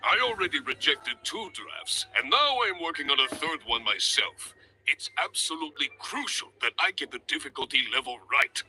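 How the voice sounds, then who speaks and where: An actor playing a blustery, enthusiastic adventurers' guild examiner in a video game, with a theatrical, emphatic delivery. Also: male voice, on the high side, big pitch swings, normal speed, pushed and bright, soft background music, full character voice, poor audio. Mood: fretting. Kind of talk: English video game voice acting.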